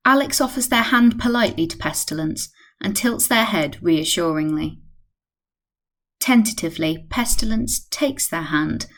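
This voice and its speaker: A woman reading scripted narration as the narrator of a radio play. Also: medium pitch.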